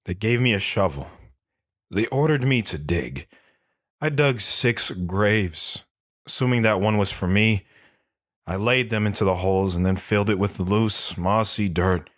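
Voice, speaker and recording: An actor playing a bitter, haunted older army sergeant telling his story in a brooding, halting way for an audio drama. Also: fairly low pitch, a bit bright, no echo, clean studio sound. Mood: grim. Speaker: dramatic monologue narrator.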